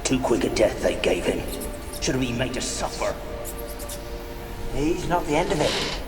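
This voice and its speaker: A man's voice: medium pitch, sing-song, measured pace. An actor playing a bitter, vengeful peasant man in a video game, crude and callous with rustic phrasing. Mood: vengeful, gloating. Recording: roomy, distant, under loud music.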